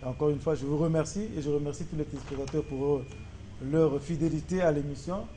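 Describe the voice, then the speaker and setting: A man with a Congolese accent hosting a talk show and wrapping up for viewers in a halting, hesitant way, often repeating words. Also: fairly high pitch, fairly even intonation, warm tone, a little hiss or hum. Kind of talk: spoken show wrap-up to viewers.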